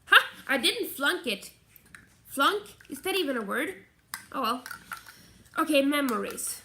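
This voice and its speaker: A woman's voice: high voice, sing-song, measured pace, noisy background. Chatty nasal woman vlogging. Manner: chatty, meandering, self-correcting. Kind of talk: casual rambling vlog chat.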